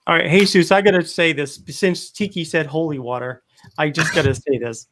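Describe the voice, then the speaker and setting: A man from Rhode Island hosting a casual livestream chat, telling stories in a lively, chatty way. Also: fairly high pitch, moderate pace. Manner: chatty storyteller, animated when telling a joke.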